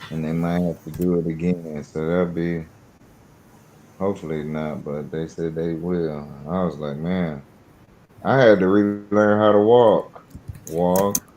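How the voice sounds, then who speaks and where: Very deep-voiced man in his late fifties. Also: flat delivery, unhurried, darkish tone, a little hiss or hum, rough recording. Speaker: podcast guest sharing personal experience. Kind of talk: casual personal conversation.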